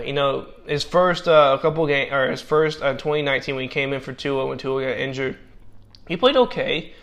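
Young male commentator, mid-pitch, voice going hoarse, halting and full of fillers, casual draft breakdown. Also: a bit bright. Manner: halting, many fillers and restarts. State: losing his voice. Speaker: football draft analyst. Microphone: personal microphone.

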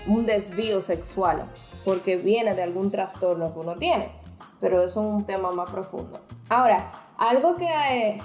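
A woman co-hosting a podcast talks frankly and at length, explaining things with frequent restarts. Her voice is mellow and a little dark. The room is echoey, with faint music. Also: mid-range voice. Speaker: podcast co-host. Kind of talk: casual chat.

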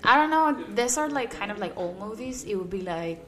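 A woman's voice: mid-range voice, expressive, brisk, a bit bright. A young woman with a Cuban accent gives casual, emphatic interview answers, peppering her speech with "like". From Cuba. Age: young adult. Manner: chatty, emphatic, frequent use of "like". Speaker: interview guest, aspiring actress and model.

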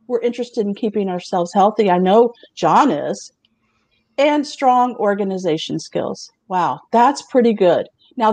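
Casting: middle-aged woman host, chatty, laughs easily, mellow warm tone, dry close sound. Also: on the high side, no echo. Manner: chatty, laughs often, asks the others questions. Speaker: host presenting remote job openings. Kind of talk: casual chat show presentation.

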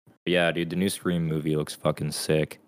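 A man's voice: rumbling low, level tone, normal speed. A young man co-hosting a podcast in casual chat, blunt and rowdy, swearing constantly. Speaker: podcast co-host.

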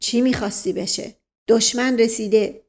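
A woman's voice: fairly high pitch, steady tone, a little mellow. A woman voicing a grave, agitated older man, an imperious uncle in a story, with dramatic delivery. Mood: grave, secretive.

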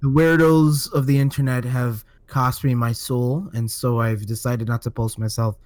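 A man co-hosting a casual gaming podcast in an easygoing way, chiming in with quick agreement. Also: medium pitch, level tone, darkish tone, no echo. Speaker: podcast co-host.

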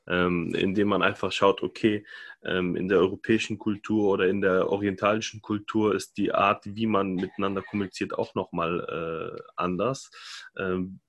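A man speaking in a loose, rambling way with many fillers during a casual discussion. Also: deep voice.

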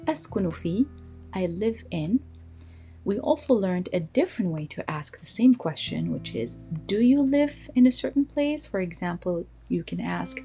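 A woman teaching a language lesson, explaining and slowly repeating phrases for learners to copy. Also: medium pitch, gravelly, dark tone. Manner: slow, deliberate repetition of phrases for learners; switches between explaining and modelling phrases.

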